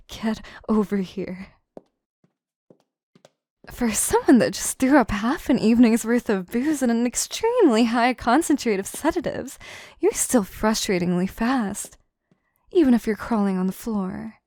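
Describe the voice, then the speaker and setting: Woman acting slow-spoken, obsessive yandere woman, high voice. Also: lively intonation, darkish tone, no echo. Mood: threatening. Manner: theatrical, formal phrasing, slow and deliberate, dropping into whispers. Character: obsessive, possessive yandere assistant woman, scheming and menacing. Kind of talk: scripted audio roleplay, intimate monologue to listener.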